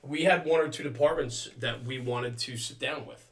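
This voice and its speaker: A man's voice: medium pitch, moderate pace. A man with an American accent hosting a casual interview, explaining at length and circling back to his point. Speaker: podcast host.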